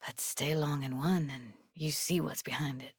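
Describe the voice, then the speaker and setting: A woman's voice: low voice, steady tone, normal speed, breathy edge, dry recording, studio quality, exaggerated voice. A woman playing a streetwise young tech in a cyberpunk video game, guarded but tender, with casual, clipped slangy phrasing. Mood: casual, wry. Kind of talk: naturalistic video game voice acting.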